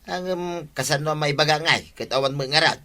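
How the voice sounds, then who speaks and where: Bright-voiced, grumbling village man. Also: fairly high pitch, a little fast. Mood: exasperated. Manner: complaining, exasperated. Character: grumbling, exasperated village man complaining about his captain. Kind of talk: comedy radio drama acting.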